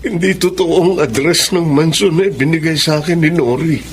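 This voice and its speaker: A repentant Filipino husband played by a man in an emotional radio drama. His voice sits a little high and his delivery is earnest. Faint noise runs underneath. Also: a little fast, close to an everyday voice. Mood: remorseful, distressed. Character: remorseful husband and newspaper artist confessing his mistakes.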